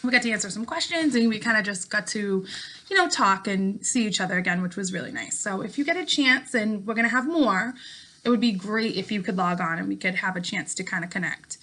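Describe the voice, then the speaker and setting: A woman teacher reading a story aloud to her class in an expressive way, with casual remarks. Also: medium pitch, a little fast.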